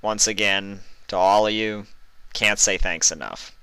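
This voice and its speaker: A man reading stories as a host, with expressive narration into a close microphone. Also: fairly low pitch, measured pace, clear, resonant tone, no room sound, low-quality recording. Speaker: story reader and channel host. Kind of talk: expressive scripted storybook narration with casual introduction.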